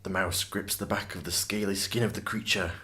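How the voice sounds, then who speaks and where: Male actor as storybook narrator for dark fantasy radio play, lowish pitch, scripted delivery. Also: fairly even intonation, brisk. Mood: narrating.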